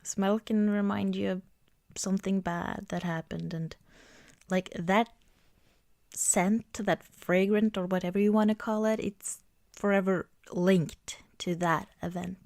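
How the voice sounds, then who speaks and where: Female ASMR performer, intimate close-mic roleplay, soft half-whispered delivery, leisurely pace, no room sound. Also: mid-range voice, fairly even intonation, gravelly, no room sound. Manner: gentle, unhurried, often dropping into whispers. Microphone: close microphone, dry sound.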